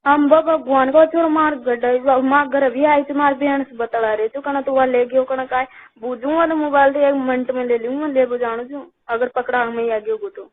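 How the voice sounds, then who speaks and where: A woman's voice: high-pitched, steady tone, moderate pace. A woman with a Rajasthani accent chatting casually on a phone call, complaining and repeating herself. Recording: tinny phone line call recording.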